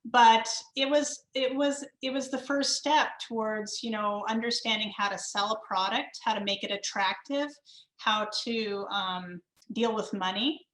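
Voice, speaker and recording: A woman's voice: fairly high pitch, steady tone, unhurried, fairly bright tone. A woman from California who owns a translation business, talking chattily in an interview. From California, USA. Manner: chatty, explanatory. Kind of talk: interview talk. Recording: echoey room.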